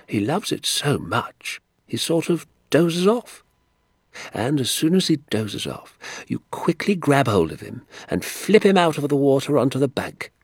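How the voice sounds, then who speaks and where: A man voicing an excitable little old country doctor in an audiobook, kindly and indignant, with emphatic, drawn-out, theatrical delivery. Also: medium pitch, slightly rough, no room sound, studio quality, pitched above the performer's own voice. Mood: gleeful, hushed. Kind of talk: acted audiobook dialogue.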